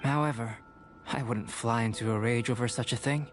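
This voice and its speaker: Composed young scholar, played by a man: even mid-range voice, measured and formal, over a game score. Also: mid-range voice, faint music behind, lightly acted. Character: calm, aloof young male alchemist, quietly curious. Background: game soundtrack.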